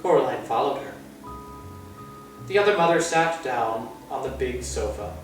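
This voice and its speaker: A man reading a children's story aloud as an expressive storyteller. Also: mid-range voice, normal speed, soft background music. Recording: very distant, echoey room.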